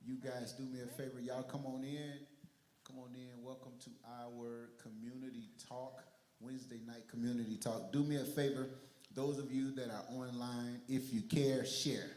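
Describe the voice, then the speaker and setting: A man hosting a church community talk before a studio audience, energetic and rallying online viewers and the crowd. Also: mid-range voice, normal speed, a little hiss or hum. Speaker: church livestream host. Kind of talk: hyped livestream hosting.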